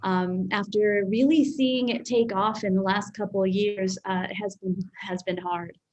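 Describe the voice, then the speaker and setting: A woman who founded a theater, speaking haltingly with repeated words and easy laughs in a video-call interview. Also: medium pitch, steady tone, poor audio. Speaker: theater founder and artistic director.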